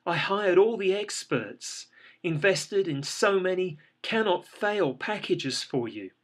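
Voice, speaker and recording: A man voicing a distraught small business owner, crying and pleading in a high, strained voice. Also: animated, takes it slowly, fairly bright tone, full character voice. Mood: tearful. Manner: pleading, tearful, voice breaking high.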